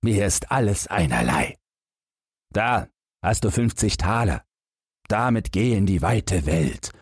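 A man's voice: on the low side, very animated, slow, breathy edge, no echo, clean studio sound, voice pushed up, full character voice. Man playing a stern, exasperated fairy-tale father. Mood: resigned, ashamed. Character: stern, exasperated father ashamed of his son.

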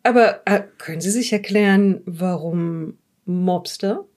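Probing police investigator, lowish woman's voice. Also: measured pace, lightly acted. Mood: pressing. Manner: inquisitive, formal, gently pressing. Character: probing, methodical police investigator questioning a suspect. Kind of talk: improvised audio drama interrogation.

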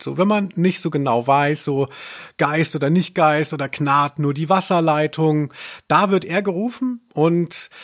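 A man with a fairly high-pitched voice talks as a podcast host. His delivery is casual and humorous, with a wry, teasing edge as he chats about audio dramas.

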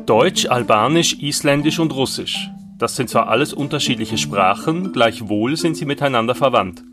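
A man reading the scripted introduction to a science podcast in a clear, deliberate way. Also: mid-range voice, expressive, music in the background. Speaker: podcast host.

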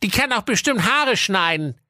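A man's voice: sits high, lively intonation, normal speed, pushed and bright, dry recording, clean studio sound, voice pushed up, exaggerated voice. A man voicing a fussy, bumbling personnel clerk in a comic fairy tale, hesitant and dismissive.

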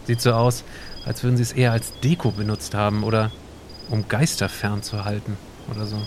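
A man's voice: fairly low pitch, little pitch movement, fairly quick, noisy background, lightly acted. A man playing a curious, sceptical time traveller in an amateur audio drama, casual and questioning. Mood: intrigued.

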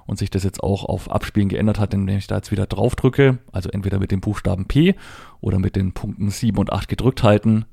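A man's voice: lowish voice, fast, creaky, dark tone, slightly rough, no echo, studio quality. A man explaining a device step by step in a matter-of-fact, conversational tutorial style. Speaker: presenter demonstrating assistive technology. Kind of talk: hands-on spoken tutorial, unscripted step-by-step explanation.

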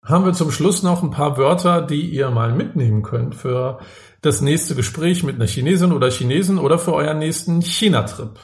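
A man's voice: on the high side, brisk, darkish tone. A man co-hosting a casual podcast, with a dry, teasing manner. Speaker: podcast co-host.

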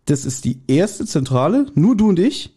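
A man's voice: fairly high pitch, very animated, moderate pace, mellow, husky. A man co-hosting a podcast, chatting casually with a wry, self-deprecating, complaining manner. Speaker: podcast co-host.

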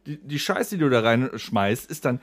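Male podcast co-host, mid-pitched, exasperated ranter in casual banter. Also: lively intonation, normal speed, no echo. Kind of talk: casual banter among friends.

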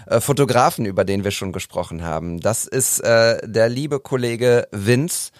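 A man's voice: lowish voice, moderate pace, dry recording, studio quality. A man hosting a podcast, talking casually with many hesitations and fillers. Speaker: podcast host.

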